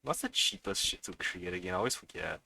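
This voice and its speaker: A man's voice: low-pitched, wildly swooping pitch, fast talker, vocal fry, bright, forceful tone, breathy edge, dry recording. A man live-streaming a video game with casual, unscripted commentary, muttering to himself and swearing freely.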